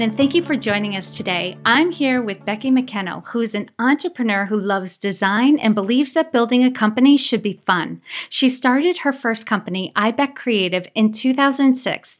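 A woman hosting an interview podcast, with an upbeat, enthusiastic manner. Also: a little high. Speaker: podcast host.

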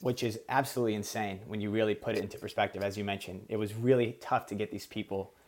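A male executive with a somewhat low voice, a native speaker of Portuguese, answering as a podcast guest. He explains things in a measured, conversational manner. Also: steady tone, darkish tone. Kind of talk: conversational business interview.

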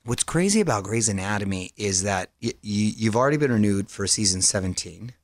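A man's voice: on the low side, lively intonation, brisk, dry recording. A male radio host in a studio, joking and laughing often as he chats casually with a guest. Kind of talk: casual celebrity interview.

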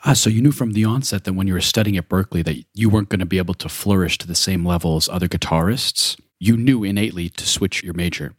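A male podcast host reading a measured, scripted introduction and then asking his guest questions. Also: deep voice, no echo, studio quality. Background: background music.

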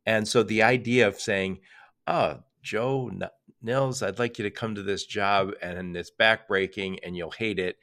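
A man's voice: low-pitched, a bit bright. An older American man hosting a casual interview, talking in a chatty, digressive way. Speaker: podcast host.

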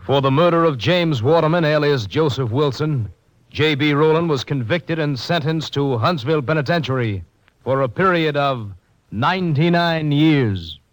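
A deliberate American man announces in formal 1950s radio style. His voice sits somewhat high, and faint music runs behind the old broadcast. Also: animated, poor audio.

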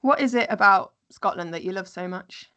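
A woman's voice: medium pitch, fairly quick, bright, forceful tone. A woman hosting a travel podcast, reading a scripted welcome and then interviewing her guest with short, friendly questions. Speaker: podcast host.

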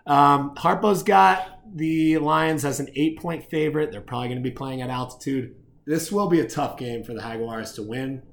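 A man's voice: on the high side, normal speed, vocal fry. A man hosting a rugby picks show, giving commentary and predictions in an opinionated, slangy way.

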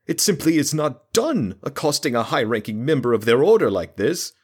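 A man's voice: medium pitch, very animated, normal speed, a little mellow, slightly rough, pitched above the performer's own voice. A man voicing an anxious, fretful male town mayor who pleads and frets.

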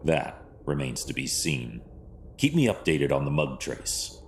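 A grim vigilante detective in an audio drama, with an extremely deep voice. He speaks formally and deadpan, stoic and commanding, over faint noise and ambience. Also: unhurried, gravelly, full character voice. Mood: grim, determined.

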